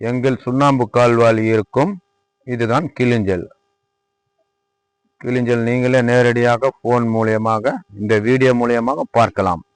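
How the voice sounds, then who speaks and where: A man with a Tamil Nadu accent gives an informal product tour and sales pitch, repeating himself as he demonstrates and politely addresses the viewer. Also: dry recording, rough recording. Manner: repetitive, demonstrative, addressing viewer respectfully as sir. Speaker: lime manufacturer presenting own workshop. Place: at a lime kiln worksite.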